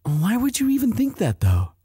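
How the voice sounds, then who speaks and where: A man voices a young male demon student, sweet and protective, in an intimate audio roleplay. His voice is soft-edged and husky, with lively pitch movement. It is recorded dry and close. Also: normal speed, no room sound, faint music behind, heavily acted. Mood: flustered, smitten. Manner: hesitant stammering when shy, firm when angry.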